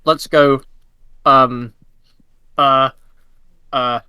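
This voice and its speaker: A man's voice: medium pitch, fairly even intonation, extremely slow, bright, forceful tone, clear, resonant tone, no echo. A man casually improvising his character's moves as a player in a tabletop game. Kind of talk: casual improvised roleplay chat.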